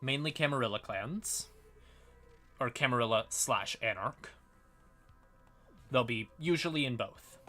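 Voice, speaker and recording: A man's voice: medium pitch, measured pace, long pauses, bright, forceful tone, faint music behind. A man hosting a casual livestream, explaining fantasy game lore in an informal, sweary, apologetic way while sounding a bit tired. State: tired, yawning. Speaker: livestream host.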